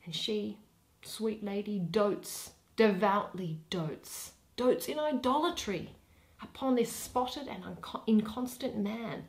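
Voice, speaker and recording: Slow, theatrical young woman reading Shakespeare. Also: mid-range voice. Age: young adult. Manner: theatrical, deliberate phrasing with pauses. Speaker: reader. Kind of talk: expressive dramatic reading of a Shakespeare play.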